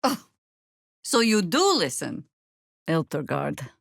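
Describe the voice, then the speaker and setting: Tired sardonic old-hand warrior druid lady in a fantasy game, slow dry delivery, really close dry sound. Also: deep voice, big pitch swings, gravelly, no room sound, heavily acted. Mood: wry, measured. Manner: dry, wry, measured. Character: weary, sardonic veteran woman druid warrior, battle-hardened. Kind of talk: fantasy video game voice acting.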